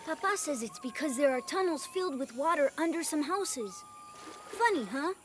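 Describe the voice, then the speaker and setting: Earnest, curious child, high and very animated, video game drama. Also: female voice, normal speed, slight background noise. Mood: chatty.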